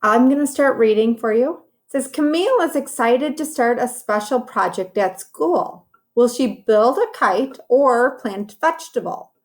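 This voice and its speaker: Slow-paced woman reading aloud to children. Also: fairly high pitch, expressive. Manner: simple, instructive phrasing with encouraging asides to children. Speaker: teacher reading a story to children. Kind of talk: read-aloud lesson for young children, with commentary on pictures.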